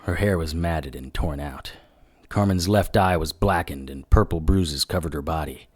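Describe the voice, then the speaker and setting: A man's voice: very deep voice, moderate pace. A man playing a terse, hard-boiled private investigator who narrates his own noir audio drama with grim intent. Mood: grim narration.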